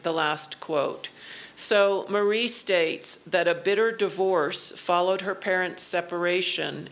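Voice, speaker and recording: A woman delivers an academic lecture in a university auditorium. Her voice sits fairly low and she speaks very slowly, in short measured phrases with pauses between them. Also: fairly bright tone. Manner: slow, measured, pausing for the interpreter. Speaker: keynote lecturer. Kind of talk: academic lecture in English with consecutive interpreting.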